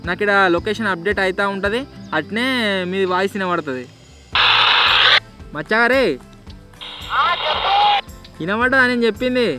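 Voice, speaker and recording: A man's voice: high voice, moderate pace, pauses often, over loud music. A young man with a Telangana accent presenting a casual vlog in an informal, slangy way. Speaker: video host.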